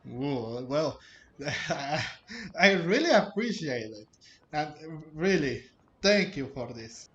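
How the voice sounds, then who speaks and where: A man's voice: a little high, sing-song, slow pace, echoey room, muffled recording. A man hosting a gameplay video with casual, hesitant commentary, searching for his words. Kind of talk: casual let's play commentary.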